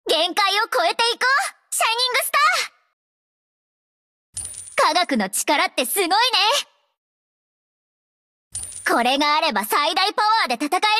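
A brave young half-elf shield-bearer girl for a video game. The voice sits high and rings bright and forceful, with earnest, resolute delivery in anime style. She speaks in measured, deliberate phrases over game music. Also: heavily acted. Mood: fired up. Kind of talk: Japanese anime-style game hero voice lines.

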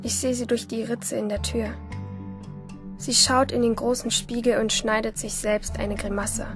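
A woman's voice: a little high, level tone, moderate pace, over music, natural voice. An actress playing a moody, infatuated teenage schoolgirl, narrating inwardly and intimately in a radio play. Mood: dreamy, observant. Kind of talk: first-person radio play monologue.

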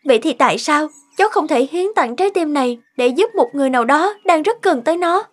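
Very high-voiced gentle young woman. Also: expressive, quick pace. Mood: earnest, serene. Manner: earnest, polite, explanatory. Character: gentle, selfless, terminally ill young woman. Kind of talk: sentimental cartoon dialogue. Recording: music behind the voice.

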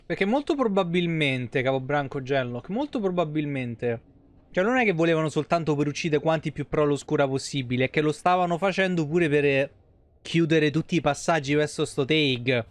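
A man's voice: a little high, expressive, normal speed, no room sound. A man, giving casual, playful and slangy live commentary on a game. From Italy. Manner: playful, slangy, mock exclamations. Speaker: game livestreamer. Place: small indoor room, streaming setup.

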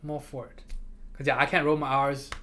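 A man's voice: medium pitch, flat delivery, a little fast, pushed and bright, a little hiss or hum. A man who grew up speaking Chinese, hosting a livestream and chatting casually, often repeating himself and laughing. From moved to America from abroad. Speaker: livestream host. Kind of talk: casual chat with chat readers.

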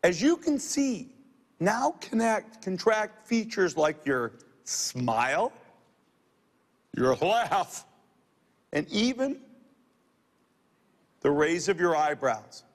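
A man giving a scripted keynote, measured and enthusiastic as he shows off a product. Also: high voice, sing-song. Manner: measured, enthusiastic showcase delivery. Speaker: keynote presenter. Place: large convention hall stage. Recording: reverberant hall sound.

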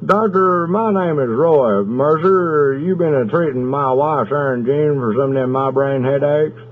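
A man's voice: a little high, measured pace, lightly acted. Man acting a belligerent country husband, tinny phone. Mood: aggrieved, folksy. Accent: Southern US, Oklahoma. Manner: folksy, rambling, deadpan menacing. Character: aggrieved, belligerent country husband threatening a whooping. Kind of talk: prank phone call. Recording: noisy, tinny telephone line.

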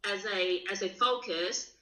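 A woman's voice: mid-range voice, measured pace, bright, forceful tone. A woman whose first language is Cantonese, asking hesitant questions as a workshop participant, repeating words as she searches. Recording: echoey room.

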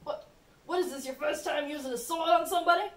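A woman's voice: high voice, fast talker, fairly bright tone. A young-sounding woman voicing scheming, bumbling comic men in an amateur puppet show, with a hesitant, exaggerated delivery. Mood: plotting, impatient. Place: indoor room with puppet stage. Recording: echoey room, distant mic, faint noise.

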